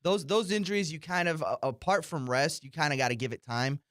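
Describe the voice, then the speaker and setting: Casual man, slightly high, dry sound. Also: a little fast, a bit bright, dry recording. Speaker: sports show co-host. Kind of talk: casual sports chat.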